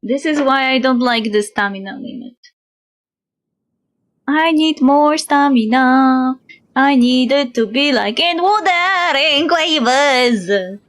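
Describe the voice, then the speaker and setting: A woman's voice: sits high, takes it slowly, fairly bright tone. A woman game streamer reading dialogue aloud and commenting in a playful, exclaiming manner. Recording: game music behind the voice.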